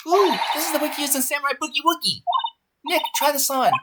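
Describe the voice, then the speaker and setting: A woman voicing a bubbly, excitable teenage girl sidekick in a game, perky and eager. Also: high-pitched, brisk, very noisy, pitched above the performer's own voice, natural voice. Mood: excited. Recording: loud music over the voice.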